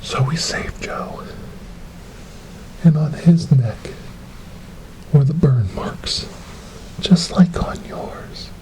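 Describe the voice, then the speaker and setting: A man playing a soothing, eerie asylum helper in a horror ASMR roleplay, coaxing a tormented creature with hushed, repetitive phrases. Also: a little high, extremely slow, pauses often, noisy background.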